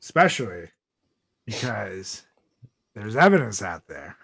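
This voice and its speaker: A man's voice: on the low side, very animated, measured pace, gravelly, a bit bright, slightly rough. A man hosting a podcast in casual, joking chat, rambling loosely and swearing freely. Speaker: podcast host.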